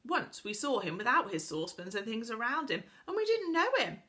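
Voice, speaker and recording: A woman's voice: on the high side, huge pitch swings, fairly quick, bright, forceful tone, voice pushed up, exaggerated voice. A woman voicing a kind young girl who speaks fondly and matter-of-factly.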